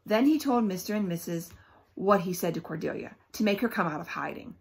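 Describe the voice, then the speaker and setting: A woman teacher reads a children's book aloud expressively, pausing often for emphasis and to put questions to young listeners. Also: fairly low pitch, expressive, slightly rough.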